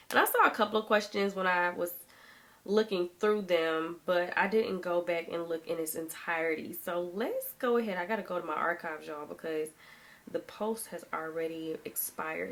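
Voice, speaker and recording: A woman's voice: fairly low pitch, a bit bright. A thirty-year-old woman vlogging to camera in a chatty, casual way, her throat a little sore as she gets over being sick. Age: 30s. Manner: chatty, addresses viewers as y'all. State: recovering from illness, sore throat.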